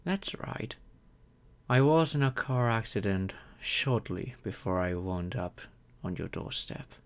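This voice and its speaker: A man plays a wealthy vampire with courtly manners in an intimate ASMR roleplay. He speaks very slowly in a fairly low voice, gentle and doting, with measured, slightly formal phrasing. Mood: amused, warm, then solemn, grateful.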